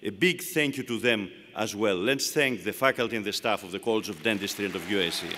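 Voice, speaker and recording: A man with a Greek accent giving a formal commencement speech, delivering measured phrases one at a time from a podium. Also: fairly low pitch, pushed and bright, some chatter behind, a little hiss or hum. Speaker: university leader giving commencement address. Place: large hall. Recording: long reverb of a large hall.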